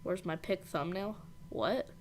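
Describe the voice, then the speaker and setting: A woman hosting a live weather stream, briskly reading out storm warnings in an informal way with some fillers. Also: on the low side, slight background noise. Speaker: weather livestream host. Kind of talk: live severe weather coverage.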